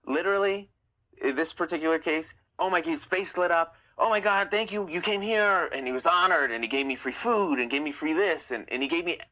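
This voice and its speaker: A young man hosting a live stream, chatting casually and reading viewer comments, opinionated and quick to get heated. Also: bright, forceful tone. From United States. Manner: chatty, opinionated, gets heated and rises in pitch.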